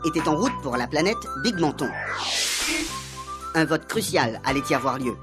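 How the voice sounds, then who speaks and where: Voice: mid-range voice, moderate pace, over music. A narrator reading a radio comedy serial in a storytelling manner, with a Réunionese accent. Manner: lively storytelling.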